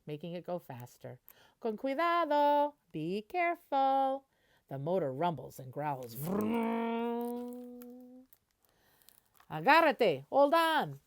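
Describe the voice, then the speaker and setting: Lady teacher doing a kids story read aloud, kinda low voice, super up and down, explains stuff simply. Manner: expressive, explains words simply. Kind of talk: children's picture book read-aloud with explanations.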